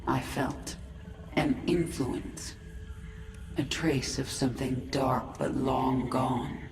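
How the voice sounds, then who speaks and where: A woman voicing a proud, menacing alien swarm queen in a video game, measured and commanding. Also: a bass voice, steady tone, a little mellow, exaggerated voice. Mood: proud, intense. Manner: measured, imperious. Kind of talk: video game cinematic acting. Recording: echoing voice over music.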